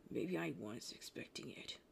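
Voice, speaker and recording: A woman playing a gruff, prickly but secretly tender young male half-demon in a roleplay spoken to the listener, brusque with a low, gravelly voice. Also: animated, moderate pace, slight background noise, heavily acted. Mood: reluctant, tender. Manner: gruff, brusque, softening into breathy murmurs. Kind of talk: roleplay audio addressed to the listener.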